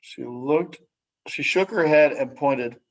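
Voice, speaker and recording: A man reading a children's story aloud expressively, with measured, dramatic phrasing and hushed sentence endings. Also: medium pitch. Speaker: story reader. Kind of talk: expressive children's picture book read-aloud.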